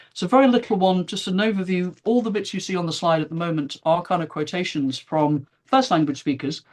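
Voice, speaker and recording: A woman giving an academic presentation, explaining discursively and stressing key phrases. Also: low voice. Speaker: university lecturer presenting.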